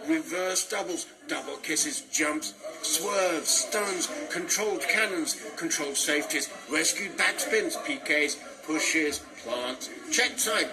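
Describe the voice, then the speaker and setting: A man's voice: fairly high pitch, poor audio. Man reading fiction, music behind. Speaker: author reading own story. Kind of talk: literary reading aloud.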